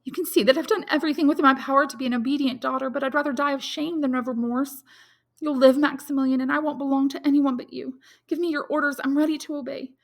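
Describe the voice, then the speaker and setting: A woman's voice: high voice, steady tone, fast, vocal fry, voice pushed up. A woman voicing a distraught, dutiful young woman in love, with an emotional, imploring manner in a dramatic audiobook. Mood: desperate, resolute. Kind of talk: dramatic audiobook character reading.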